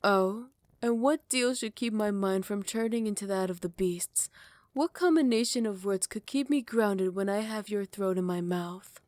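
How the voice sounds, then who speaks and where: A woman playing a possessive yet tender royal vampire in love, speaking in formal, old-fashioned phrasing with breathy half-whispers in an intimate ASMR roleplay. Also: mid-range voice, normal speed, a little mellow, no echo, lightly acted. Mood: teasing, yielding.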